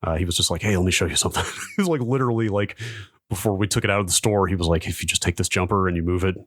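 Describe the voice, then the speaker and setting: Quick-talking man with a dry, close sound. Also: deep voice, a bit bright, no room sound. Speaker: podcast co-host. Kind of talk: casual chat, recounting anecdotes.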